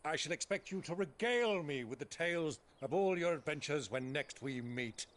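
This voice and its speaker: A man playing a middle-aged, affectionate gentleman adventurer and uncle in a video game, courtly and wry. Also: fairly high pitch, lively intonation, moderate pace, slight background noise. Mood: fond, jaunty. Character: warm, roguish older uncle and gentleman adventurer. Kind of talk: dramatic video game acting.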